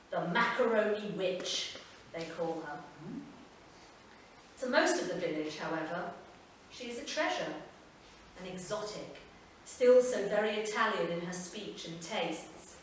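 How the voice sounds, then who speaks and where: A woman reading a literary story aloud at a live event, measured and expressive, recorded from far off. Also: medium pitch, a little hiss or hum. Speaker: reader. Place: performance venue. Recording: echoey hall from far off, faint ambience.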